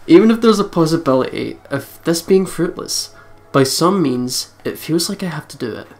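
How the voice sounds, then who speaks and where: A let's play commentator reading a visual novel aloud in an expressive storytelling way, breaking off for sweary, joking asides. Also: mid-range voice, moderate pace. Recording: music behind the voice. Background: game music.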